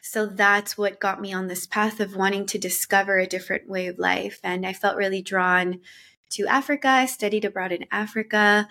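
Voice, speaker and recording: A woman's voice: mid-range voice, a bit bright. A woman from Colombia, a guest on a podcast, telling her life story in a casual, reflective way with frequent filler words. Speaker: podcast guest, women's mentor.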